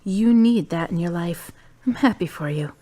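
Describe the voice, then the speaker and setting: An actress playing a calm, wise young woman in her twenties from the United States, who talks bluntly and teases dryly. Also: lowish voice, moderate pace, natural voice. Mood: firm. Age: 20s. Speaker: friend and love interest. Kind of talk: amateur web drama acting.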